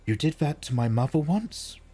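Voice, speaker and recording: A man's voice: fairly high pitch, expressive, normal speed, mellow, slightly rough, pitched above the performer's own voice. A man reading aloud, voicing a guarded, proud teenage boy who speaks tersely and defiantly. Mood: tense.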